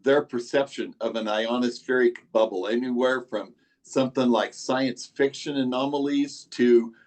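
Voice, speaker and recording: A man talks in a casual, conversational way during a teleconference. His voice has a middling male pitch. He sounds like a participant offering remarks to colleagues. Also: steady tone, moderate pace, darkish tone, poor audio. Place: online teleconference.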